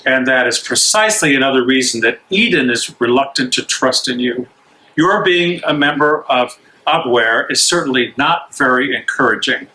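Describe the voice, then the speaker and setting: An actor playing a cautious English bishop in a stage reading, formal and admonishing in manner. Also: male voice, medium pitch, lively intonation, moderate pace, pushed and bright, low-quality recording. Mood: cautioning.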